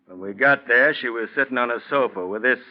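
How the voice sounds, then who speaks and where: An actor playing a stern, sardonic American police detective in a 1940s radio crime drama, clipped and accusing. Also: male voice, medium pitch, a little fast, natural voice. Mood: sardonic, grim. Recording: dull, lo-fi 1940s radio recording.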